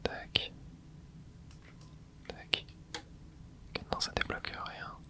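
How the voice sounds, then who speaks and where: An ASMR gaming host whispering a running commentary while playing, muttering quiet reactions into a close microphone. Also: drawn-out words.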